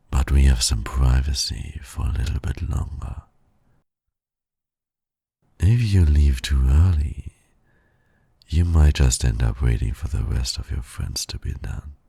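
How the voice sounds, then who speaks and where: A man playing a suave, flirtatious villain who teases and courts his nemesis in an intimate ASMR roleplay, with a husky, gravelly, breathy voice. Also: rumbling low, dry recording, full character voice. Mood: coaxing, persuasive. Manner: slow, breathy, suave teasing with soft chuckles.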